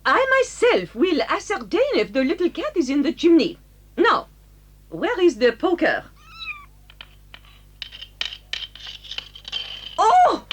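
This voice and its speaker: An actress playing a strict, easily exasperated French schoolmistress with a French accent and halting English, in a children's audio drama. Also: female voice, extremely high pitch, wildly swooping pitch, a little hiss or hum, heavily acted. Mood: indignant, flustered. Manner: commanding, theatrical, halting in English.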